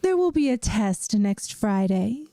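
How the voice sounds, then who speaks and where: Woman acting a slow, soft-spoken gentle vampire teacher. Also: mid-range voice, very animated, a little hiss or hum. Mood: calm, instructive. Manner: soft, unhurried, soothing, teacherly. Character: centuries-old female vampire math teacher, gentle, wry, reassuring. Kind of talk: ASMR audio roleplay.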